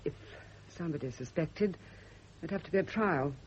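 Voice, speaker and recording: An actress playing a controlled, genteel woman friend in a vintage radio drama, speaking in a measured, soothing way. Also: fairly low pitch, lively intonation, a little mellow, lightly acted. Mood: grave, careful. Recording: dull, hissy vintage radio recording.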